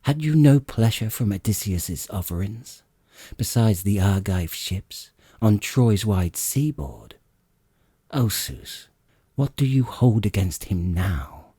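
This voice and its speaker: A man voicing an earnest goddess pleading with her father in an audiobook, reverent and impassioned, in his low husky voice. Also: lively intonation, takes it slowly, warm tone, dry recording, clean studio sound, heavily acted. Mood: pleading, sorrowful.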